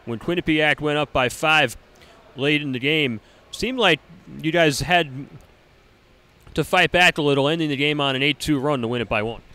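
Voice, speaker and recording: Young guy reporter, handheld mic in a gym, crisp sporty stat rundown. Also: on the high side, moderate pace, pushed and bright. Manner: crisp broadcast delivery of scores and stats. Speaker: sideline reporter. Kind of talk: postgame interviews. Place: indoor college gym.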